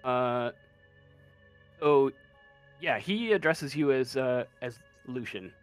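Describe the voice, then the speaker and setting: A man with a medium-pitched voice narrates a tabletop game as game master. He goes slowly and hesitantly, hunting for words, with faint music underneath. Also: expressive.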